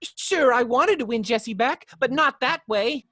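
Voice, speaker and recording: A woman's voice: fairly high pitch, a little slow, no room sound, clean studio sound, exaggerated voice. A woman voicing a frantic, pleading, naive male cartoon rabbit in an amateur table read of a film script. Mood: earnest chatter. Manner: pleading, excitable.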